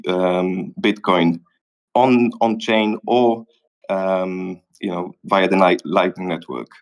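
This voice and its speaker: A man's voice: low voice, fairly even intonation, slow. A man talking conversationally in a live audio chat, raising questions. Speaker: audience speaker.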